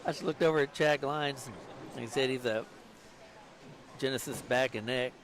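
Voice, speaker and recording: A man's voice: mid-range voice, moderate pace, noisy background. A man doing casual live commentary on a bowling tournament, chatty and joking and laughing often.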